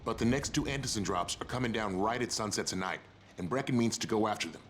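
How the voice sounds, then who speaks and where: A man playing a gruff, no-nonsense survivor in a video game, giving curt, sardonic briefings. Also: on the low side, quick pace, a little hiss or hum, lightly acted. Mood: businesslike, grim. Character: gruff, no-nonsense male survivor handing out jobs. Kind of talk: video game dialogue acting.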